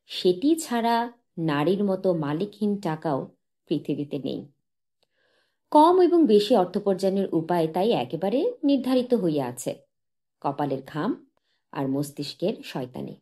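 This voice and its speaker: A woman narrating a literary audiobook in a measured, expressive manner with dramatic pauses. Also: on the low side.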